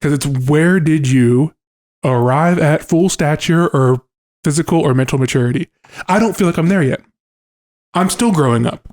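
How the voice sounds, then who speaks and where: A young man co-hosting a podcast, reasoning things through aloud in a relaxed, thoughtful way. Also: on the high side, normal speed. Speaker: podcast co-host. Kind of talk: casual podcast conversation.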